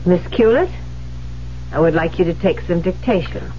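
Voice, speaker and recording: An actress in a 1970s radio drama playing a cold, unhinged woman writer who killed her husband, speaking deliberately and dramatically. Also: fairly low pitch, animated, close to an everyday voice. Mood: cold, controlled. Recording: dull, noisy 1970s radio recording with hum.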